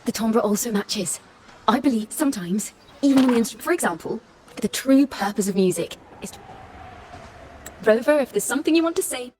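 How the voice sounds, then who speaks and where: A woman's voice: a little high, animated, a little fast, long pauses, darkish tone, a little hiss or hum, natural voice. A woman voicing a cheerful, musing young wandering musician in a video game, with lyrical, theatrical phrasing. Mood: thoughtful. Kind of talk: video game character dialogue.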